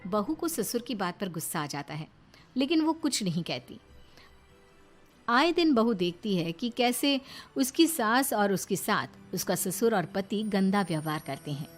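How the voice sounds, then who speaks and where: A woman's voice: medium pitch, expressive, a little slow. Woman narrating a cartoon story. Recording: faint background music.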